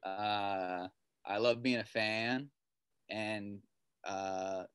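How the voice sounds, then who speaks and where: Relaxed American man, dry sound. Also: on the low side, very slow, smooth, no room sound. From United States. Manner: relaxed, uses lots of "you know" and "like". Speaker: guest. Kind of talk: casual chat.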